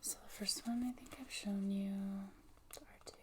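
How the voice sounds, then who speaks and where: A woman whispering gently in a casual ASMR show and tell, soft-spoken and breathy, close to the microphone. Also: mid-range voice, steady tone, normal speed.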